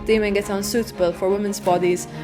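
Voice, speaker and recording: A woman delivers scripted video-essay narration at a middle pitch. Music plays behind her voice throughout. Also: little pitch movement, quick pace.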